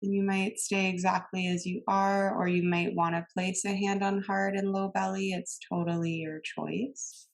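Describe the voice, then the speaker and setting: A woman instructor leading a guided meditation in a calm, gentle manner, giving soothing cues with long pauses. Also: medium pitch, gravelly.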